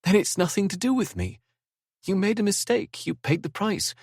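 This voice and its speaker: A man's voice: high voice, wildly swooping pitch, normal speed, darkish tone, breathy edge, dry recording, studio quality, voice pushed up, full character voice. A man voicing Zara, a composed young woman who speaks her mind steadily. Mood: firm. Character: young woman Zara, calm, firm, level-headed.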